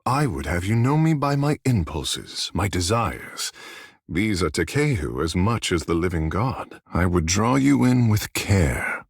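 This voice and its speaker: An actor playing a flirtatious, theatrical godlike fish-man in a video game, with florid, teasing formality, dramatic pauses and tenderness beneath the bravado. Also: lowish voice, creaky, slightly rough, dry recording, studio quality, exaggerated voice. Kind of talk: video game character dialogue.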